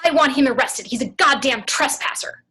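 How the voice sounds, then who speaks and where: A woman playing an angry, hostile woman in her thirties with a sharp, accusing manner in an amateur horror script reading. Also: sits high, moderate pace. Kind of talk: amateur table read of a horror screenplay.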